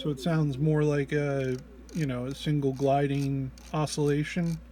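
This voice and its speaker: A man with a slightly higher-pitched voice presents an informal walk-through. He speaks slowly and hesitantly, with low energy, sounding a bit under the weather as he explains each step. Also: level tone, mellow, slight background noise. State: under the weather, often clearing throat. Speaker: presenter of a music effects patch walk-through.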